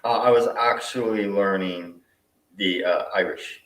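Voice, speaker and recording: Male host, very low voice, relaxed and thoughtful; roomy echo, off-mic. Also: a little slow, recorded from a distance. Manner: relaxed, thoughtful, with frequent fillers. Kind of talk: casual video call chat. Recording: echoey room, away from mic.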